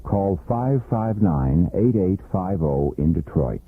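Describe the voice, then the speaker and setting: A deep-voiced American man delivers a scripted radio advertisement. His intonation swings widely, and the old 1980s broadcast recording is muffled and narrow. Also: animated, slow pace, no room sound, soft background music. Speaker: commercial announcer.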